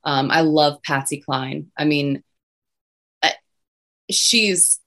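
A young woman singer with a low voice, chatting casually as an interview guest. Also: slow, fairly bright tone. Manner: chatty, many restarts and fillers.

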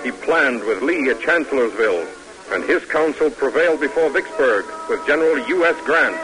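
A man narrating a vintage radio drama in a solemn, declamatory, measured style. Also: medium pitch, expressive, low-quality recording. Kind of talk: dramatic 1940s-50s radio documentary narration. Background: music.

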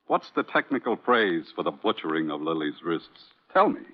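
An actor playing a sardonic Broadway producer, biting and theatrical, in an old-time radio crime drama. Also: male voice, low-pitched, big pitch swings, normal speed. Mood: biting sarcasm. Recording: tinny, band-limited vintage radio recording.

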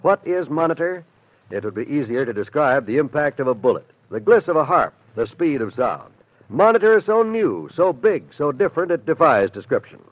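American male radio announcer, highly animated, polished, emphatic, dull dry vintage recording, 1950s style. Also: normal speed, no room sound. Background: music.

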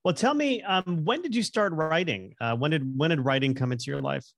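A man's voice: on the high side, brisk, creaky, a bit bright, no room sound, clean studio sound. A man hosting an interview, asking friendly, open questions. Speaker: interviewer host. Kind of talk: interview conversation.

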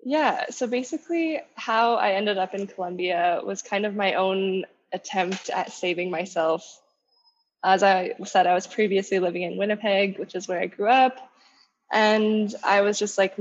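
A woman in her late twenties from Canada, a guest telling her story candidly and laughing softly between phrases, calling in from a coffee shop. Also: mid-range voice, muffled recording, slight background noise. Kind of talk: personal storytelling in an interview.

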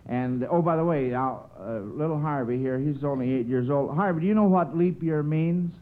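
A man's voice: on the high side, moderate pace, phone-quality sound. A man hosting an old-time radio quiz show, chatty and folksy, often stretching out his phrases as he goes. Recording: scratchy, noisy, thin old radio recording.

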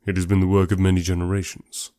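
A man voicing a proud, reserved young gentleman in an audiobook, grave, formal and terse. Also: low voice, monotone, fast, no room sound, clean studio sound, pitched below the performer's own voice, natural voice. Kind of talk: classic novel audiobook character voice.

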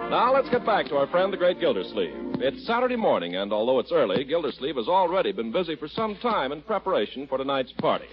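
A man announcing and narrating a 1940s radio comedy, smooth and emphatic. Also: fairly high pitch, very animated, fast talker, hardly any pauses, music in the background. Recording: dull old radio recording.

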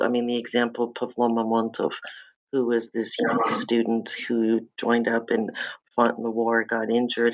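A woman being interviewed about her book, explaining her choices in a measured way with frequent fillers. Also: extremely low pitch, poor audio. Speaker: author and former radio show host, interview guest. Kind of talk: academic interview.

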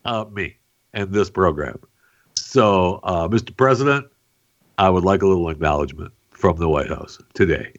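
Male podcast host, very low voice, dull lo-fi sound, joking, filler-heavy headline chat. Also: a bit bright. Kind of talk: casual news chat.

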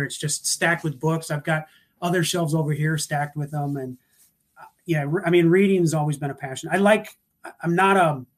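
A man in casual conversation, talking in an earnest, reflective way with many restarts over a video call. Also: fairly high pitch.

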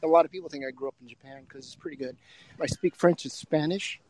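A man's voice: fairly high pitch, fast talker, a little mellow, no echo, low-quality recording. A man calling into an audio chat to talk about his screenwriting, chatty and enthusiastic with lots of fillers. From United States. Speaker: caller. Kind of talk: casual chat.

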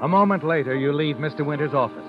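An actor playing an ominous, needling narrator in an old-time radio suspense drama, addressing the guilty heroine as you. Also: male voice, a little high, quick pace, natural voice. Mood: ominous, urgent. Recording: dull old radio recording, loud music behind. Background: dramatic music.

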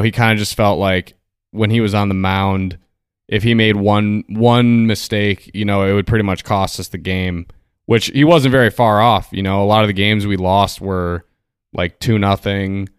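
A man's voice: fairly low pitch, steady tone, moderate pace, smooth, dry recording, studio quality. A man co-hosting a podcast, asking questions and chatting in easygoing, agreeable banter. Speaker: podcast co-host. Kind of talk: casual podcast chat.

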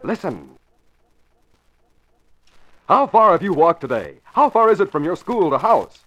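A man with an American accent reading scripted announcements and sponsor pitches in 1940s radio style. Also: very animated, a little slow, fairly bright tone, slight background noise. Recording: dull old 1940s radio recording.

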